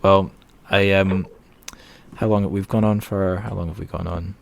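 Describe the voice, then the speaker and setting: A man's voice: deep voice, level tone, unhurried, gravelly. A man hosting a podcast interview in a chatty, informal, rambling conversational way. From northern Britain.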